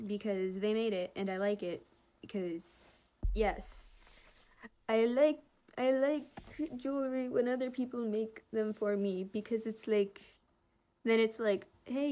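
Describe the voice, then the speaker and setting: A woman's voice: fairly high pitch, a little mellow. A young woman casually narrating and commenting on fan comics in a gushing, giggly, informal way.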